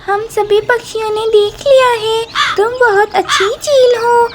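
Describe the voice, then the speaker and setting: A performer voicing a small, wary female sparrow who leads the birds, speaking squeakily and emphatically in a children's cartoon story. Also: steady tone, unhurried. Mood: approving.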